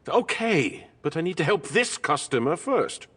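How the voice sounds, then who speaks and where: A man's voice: fairly high pitch, big pitch swings, measured pace, a bit bright, husky, full character voice. A man playing a smarmy, lecherous shopkeeper in an audiobook drama, with an oily, courteous manner. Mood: oily, obliging. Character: smarmy, lecherous male shopkeeper, flirtatious with customers.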